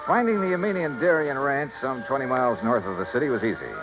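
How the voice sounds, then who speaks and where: A man's voice: mid-range voice, lively intonation, fast talker, lightly acted. An American man playing a wry, breezy insurance investigator in a 1950s radio drama. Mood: brisk, matter-of-fact. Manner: breezy, wisecracking. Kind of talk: 1950s radio detective acting and narration. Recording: muffled vintage 1950s radio recording, music.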